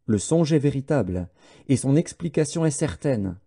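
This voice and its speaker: A man narrating scripture as an audiobook in a solemn, measured way. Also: medium pitch, expressive, creaky, dark tone, slightly rough.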